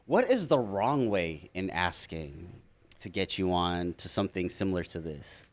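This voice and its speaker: A man hosting a casual interview podcast, talking in a slangy, expressive way with excitable reactions. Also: deep voice, normal speed. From Filipino background. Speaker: podcast host.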